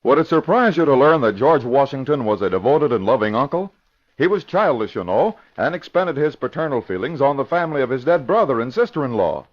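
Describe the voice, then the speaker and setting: A man's voice: mid-range voice, sing-song, a little fast. An American man reading a scripted old-time radio promotion in a conversational, informative way. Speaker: promo announcer. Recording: dull old radio recording.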